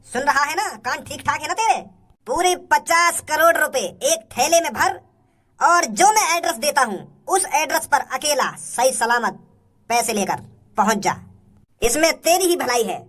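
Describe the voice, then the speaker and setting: Woman playing a high-voiced, gloating cartoon bandit. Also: expressive, fairly bright tone. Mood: menacing, taunting. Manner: taunting, drawn-out, sing-song gloating. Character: boastful greedy male bandit kidnapper, menacing and theatrical. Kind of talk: comic cartoon villain dialogue.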